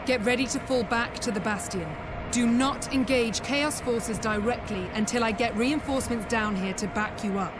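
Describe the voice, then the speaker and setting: A woman's voice: on the high side, normal speed, noisy background, lightly acted. A woman playing a commanding military lieutenant in a video game, giving clipped, authoritative orders. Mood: firm, businesslike. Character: commanding female military lieutenant of besieged human soldiers. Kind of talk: dramatic video game acting. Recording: loud music over the voice.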